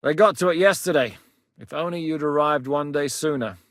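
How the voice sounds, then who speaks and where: A blunt, battle-hardened mercenary commander, voiced by a man for a video game, middle-aged and coarse-spoken, with a voice sitting a little higher than most men's. He talks bluntly with a dry wit. The recording is close and dry, with no room sound. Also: brisk, dry recording, natural voice. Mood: grim, relieved. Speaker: military commander. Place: studio booth. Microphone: close studio mic.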